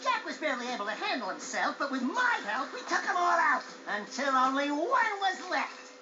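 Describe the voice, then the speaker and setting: A man voicing a small, boastful, excitable male animal sidekick in a video game cutscene, telling things in a brash, theatrical way. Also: very high-pitched, moderate pace. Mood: cocky. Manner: brash, bragging, theatrical storyteller. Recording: dull game audio under music.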